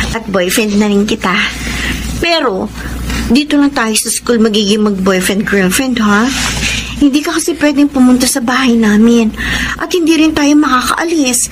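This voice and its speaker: A woman's voice: on the high side, measured pace, background noise, lightly acted. An actress with a Filipino accent playing an anxious, devoted young college girlfriend in a radio romance drama, earnest and hesitant. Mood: tender, resolute.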